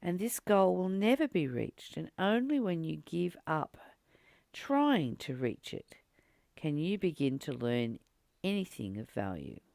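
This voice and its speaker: Spiritual study host: very slow, gravelly delivery, earnest and deliberate, reflective reading with personal commentary. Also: mid-range voice, sing-song, darkish tone, dry recording, studio quality. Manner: deliberate, earnest, with long pauses between short phrases.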